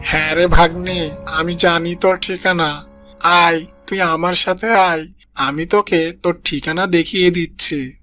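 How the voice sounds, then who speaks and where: A man's voice: a little high, fairly even intonation, a little slow, pushed and bright, soft background music. A man voicing a wicked, sly old fox in a children's cartoon, with a menacing, mocking manner. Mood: sly coaxing. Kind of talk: children's moral-story cartoon dialogue.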